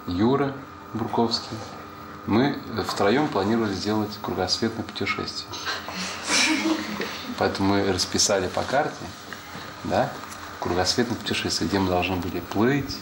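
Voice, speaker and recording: A man whose first language is Portuguese, a former student talking casually with a class of schoolchildren, patiently explaining things and asking them questions back. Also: low voice. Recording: echoey room with background noise.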